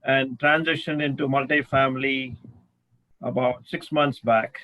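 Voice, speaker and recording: A man introducing himself on a video call, speaking haltingly with many restarts. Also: mid-range voice, fairly even intonation, no echo, dull audio. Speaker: real estate investor.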